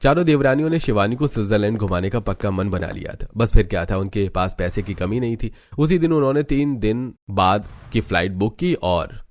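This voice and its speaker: A man's voice: on the low side, lively intonation, a little fast, few pauses. A man reading scripted storybook narration as the narrator of a cartoon tale.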